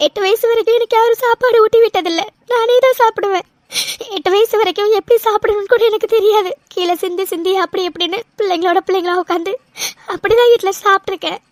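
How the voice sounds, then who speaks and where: Woman acting as young wife from an orphanage, very high voice, stammering, tearful, dry close recording. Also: dry recording. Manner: hesitant, stammering, choked with tears. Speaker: voice actor. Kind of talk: audio drama acting.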